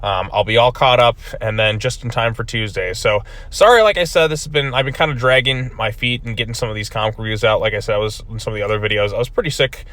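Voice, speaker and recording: A man's voice: medium pitch, fast, few pauses, fairly bright tone. A man giving a casual video review of a comic book, rambling with many fillers, his voice still recovering from being lost.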